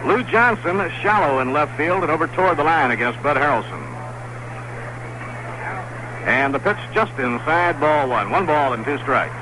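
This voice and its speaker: A man doing play-by-play commentary of a baseball game on radio, steady and even with quick rises on plays. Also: a little high. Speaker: play-by-play announcer. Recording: noisy old 1960s radio broadcast.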